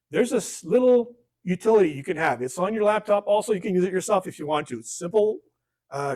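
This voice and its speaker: A man leads a relaxed class on phone safety, his voice a bit on the high side. He talks off the cuff, tosses in jokes and acts out little exchanges with gadgets. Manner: conversational, joking asides, acts out dialogue. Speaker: workshop instructor. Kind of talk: informal classroom lesson.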